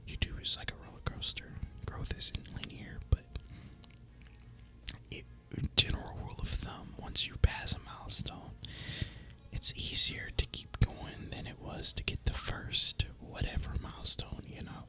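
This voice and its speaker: A man whispers throughout, breathy and crisp, close to the microphone. He rambles casually like an ASMR gaming host, with an easy, relaxed delivery and a bright, hissy edge to the whisper. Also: a little slow.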